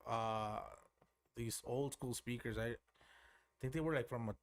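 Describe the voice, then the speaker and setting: A man's voice: medium pitch, steady tone, unhurried. A man in his thirties, a podcast guest, talking in an easygoing, casual way and filling his talk with "like". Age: 30s. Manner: easygoing, says "like" a lot, laughs easily. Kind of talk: casual chat.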